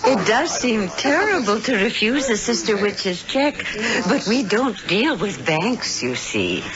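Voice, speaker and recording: A woman's voice: mid-range voice, very animated, measured pace, a crowd in the background. An American actress playing a sweet, motherly elderly wife, homey and chatty, in old-time radio drama style. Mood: fond, homely. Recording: dull, hissy old radio recording.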